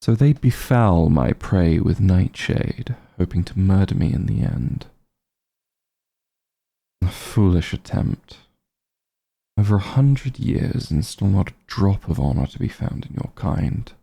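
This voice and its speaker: Man voicing a deep, slow, haughty vampire lord. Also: animated, vocal fry, mellow, heavily acted. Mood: scornful. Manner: imperious, disdainful, measured and commanding. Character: haughty centuries-old male vampire lord, cold, possessive. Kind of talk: ASMR roleplay, scripted dramatic monologue.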